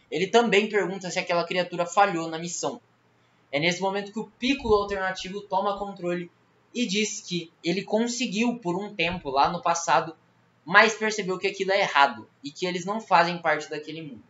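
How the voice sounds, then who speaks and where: High-voiced Brazilian man in an echoey room. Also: fairly bright tone. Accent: Brazilian Portuguese. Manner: colloquial, slangy. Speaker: video creator recapping a fan horror series. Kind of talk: casual story recap narration. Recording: roomy echo, muffled, faint noise.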